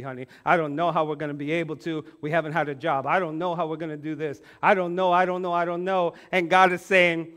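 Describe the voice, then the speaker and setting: A man in his forties preaching a sermon on a church stage, animated, acting out quoted voices and swinging from loud to hushed. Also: on the high side. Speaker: pastor. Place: church stage with band instruments.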